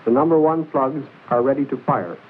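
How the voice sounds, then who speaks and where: A man narrates an old military training film in a formal, measured, precise instructional style, reading from a script. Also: medium pitch, narrow, tinny audio. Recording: hissy band-limited 1940s film soundtrack.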